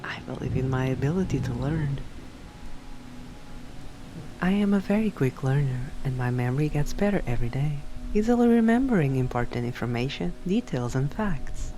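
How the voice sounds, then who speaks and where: A woman reads scripted affirmations for sleep hypnosis in a measured, deliberate way, phrase by phrase. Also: extremely low pitch, animated, warm tone, a little hiss or hum, low-quality recording. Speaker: affirmations narrator. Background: background music.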